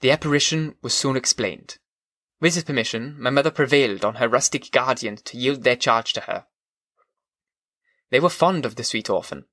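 An earnest gentleman, acted by a male audiobook reader, recounts his life with a German accent. His delivery is formal and measured, in a medium pitch. The recording is dry and free of room sound. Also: no room sound, lightly acted. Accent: put-on German. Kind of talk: audiobook narration of classic novel.

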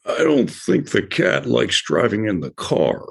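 A man voicing a sensible, patient male elephant in a children's cartoon, explaining things calmly. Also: on the low side, measured pace, vocal fry, husky, exaggerated voice. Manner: patient, explaining, mildly fussy.

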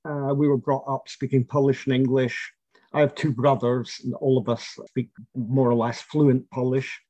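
A middle-aged man, telling about his family's past in a measured, reflective way, with fillers and restarts. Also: mid-range voice, level tone, warm tone, no echo. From Scotland. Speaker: interviewee. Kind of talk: oral history interview. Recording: dull, lo-fi and dry.

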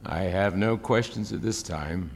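Smug low-voiced senator in an echoing hall. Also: male voice, a little slow. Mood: formal, withholding. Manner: formal, pointed, elaborately polite. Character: smug, probing male senator questioning a witness. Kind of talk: fiction podcast audio drama. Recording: echoey hall with long reverb.

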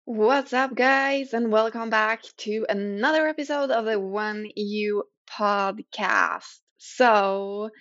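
A young Swedish-accented woman hosts a podcast in casual English, her voice carrying a gravelly fry. She rambles and hesitates, leaning on fillers as she talks. The recording is dry and close, with a slightly dull, lo-fi sound. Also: mid-range voice, takes it slowly, hardly any pauses, a bit bright, no echo. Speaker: podcast host.

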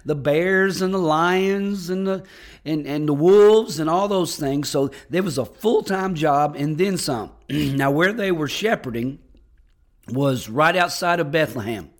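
A man preaching as pastor on a podcast, hoarse and raspy from losing his voice, talking in a folksy, enthusiastic way with frequent restarts. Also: on the high side, measured pace. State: hoarse, lost voice. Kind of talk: podcast sermon.

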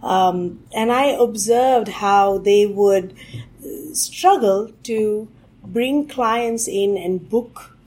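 Husky-voiced woman, reflective. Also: mid-range voice, drawn-out words, a little mellow, low-quality recording. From India. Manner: reflective, with frequent fillers and restarts. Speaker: guest, tech executive. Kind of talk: interview answers.

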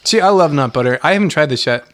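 A man's voice: on the high side, lively intonation, fast. A young man co-hosting a casual podcast, playful and teasing, often laughing. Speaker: podcast co-host. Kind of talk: casual chat.

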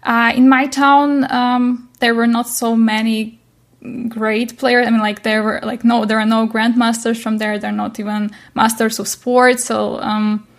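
A woman's voice: a little high, level tone, measured pace. A young woman from Ukraine with a Ukrainian accent, speaking non-native English hesitantly as an interview guest. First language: not English. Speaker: interview guest, chess coach. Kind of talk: casual interview chat.

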